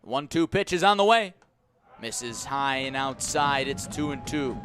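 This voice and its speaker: A man doing live radio baseball play-by-play as the announcer, with excited calls on the big plays. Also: mid-range voice, unhurried. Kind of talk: live sports commentary.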